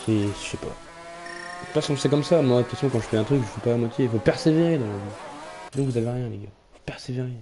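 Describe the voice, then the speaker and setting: A man's voice: mid-range voice, expressive, fast talker. A man with a slight Belgian French accent, a streamer giving rambling, casual live commentary on his racing game and swearing often. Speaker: gaming streamer. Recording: game engine noise in background.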